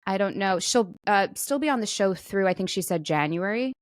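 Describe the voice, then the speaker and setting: A woman's voice: mid-range voice, lively intonation, fairly quick, no echo, clean studio sound. A woman co-hosting a talk podcast, chatting casually and jokingly about the news. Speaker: podcast co-host.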